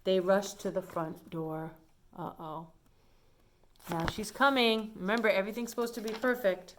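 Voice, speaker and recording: A woman reading a picture book aloud to children, pausing to explain things in simple asides. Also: medium pitch, steady tone, slight background noise.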